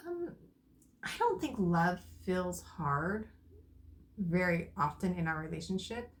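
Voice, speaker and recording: A woman in casual conversation with her partner, thoughtful and soft-spoken. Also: on the low side, animated, slow, slight background noise. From Arizona, USA. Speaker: co-host.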